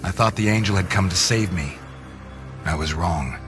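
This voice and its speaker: An actor playing a grim half-vampire antihero who narrates a video game in a terse, deadpan, noir-like way. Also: male voice, low voice, moderate pace, music in the background, lightly acted. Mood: brooding.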